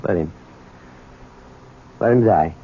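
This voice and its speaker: An actor playing a bitter, unforgiving grown son of a convict in a 1970s radio drama, with an emphatic, clipped, resentful delivery. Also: male voice, low-pitched, slow pace. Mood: hard refusal. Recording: muffled, noisy old radio recording.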